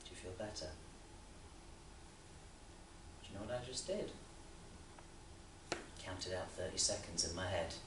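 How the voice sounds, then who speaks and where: A man's voice: low-pitched, moderate pace, natural voice. A man playing an overbearing, needling boss in a rambling theatrical monologue full of rhetorical questions. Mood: controlled, eerie. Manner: rambling, insistent, with rhetorical questions and sudden swells. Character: Elwood, overbearing, needling male boss fretting about the young. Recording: roomy, distant mic, faint background noise.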